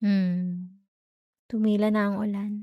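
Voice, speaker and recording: Slow, gentle Filipino girlfriend voiced by a woman. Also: mid-range voice, flat delivery, no room sound, highs cut off, close to an everyday voice. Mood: soft, caring, then sleepy, affectionate. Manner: soft, tender, coaxing, with murmured hums. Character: gentle, reassuring young girlfriend comforting her partner at night. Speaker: ASMR roleplay performer. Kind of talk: intimate ASMR roleplay, Taglish. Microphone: close microphone, dry.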